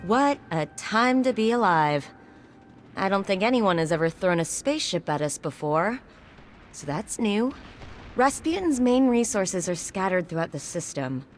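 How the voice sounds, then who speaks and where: A woman's voice: mid-range voice, very animated, measured pace, a little hiss or hum. An actress playing a confident female engineer with a dry, wry humor, giving mission briefings in a video game. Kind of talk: video game quest dialogue.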